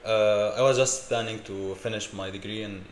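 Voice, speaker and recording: A young man in his twenties from Lebanon with a Lebanese accent, telling his story as an interview guest in a relaxed way with fillers. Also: fairly low pitch, normal speed, echoey room, slight background noise. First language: Arabic. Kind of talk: casual interview.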